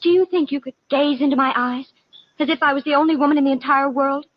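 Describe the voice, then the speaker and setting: A woman's voice: high voice, steady tone, fast talker, close to an everyday voice. An actress playing a naive, melodramatic, lovesick young woman, earnest and scatterbrained, in 1950s radio comedy-drama style. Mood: earnest, coy. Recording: muffled 1950 radio broadcast recording.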